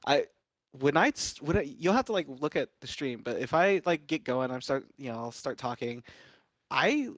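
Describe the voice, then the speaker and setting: A man's voice: medium pitch, expressive, normal speed, fairly bright tone, no echo, muffled recording. Laughing young man in casual chat. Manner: laughs often, fumbles and restarts words. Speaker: podcast co-host and streamer. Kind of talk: casual chat among friends.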